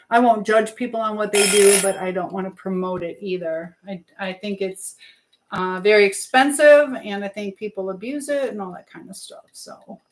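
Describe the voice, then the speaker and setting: A woman hosting a casual live chat, rambling as she reads out and answers viewer comments. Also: medium pitch. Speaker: livestream host. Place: indoors at home, in an echoey room. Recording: echoey room.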